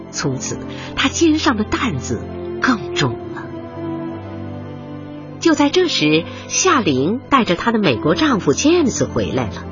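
A woman narrates a radio play in a dramatic storytelling read. Her intonation sweeps very widely over clear background music, and the recording is a little dull. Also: deep voice.

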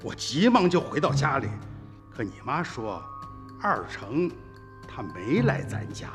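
A man's voice: medium pitch, wildly swooping pitch, measured pace. A brooding older villager in a radio play, speaking tersely, his voice rising and falling widely. There is a long reverb on him and faint music behind. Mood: reflective.

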